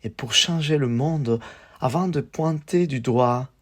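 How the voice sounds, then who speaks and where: A man gives a spoken-word poetry recital at a slow pace. His delivery is measured and declamatory, broken into short phrases with pauses between them. His voice sits at a medium pitch for a man. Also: a bit bright.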